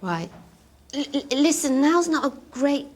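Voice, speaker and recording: A woman's voice: high voice, sing-song, a little slow, darkish tone. An actress playing a flustered mother who stammers and trips over her words, with a sarcastic edge. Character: flustered middle-aged mum juggling calls. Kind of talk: sitcom acting.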